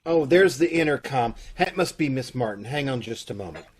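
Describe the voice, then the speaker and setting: A man's voice: mid-range voice, animated, fairly quick, breathy edge. A man playing a fussy, salesman-like bank loan officer in an old-time radio comedy.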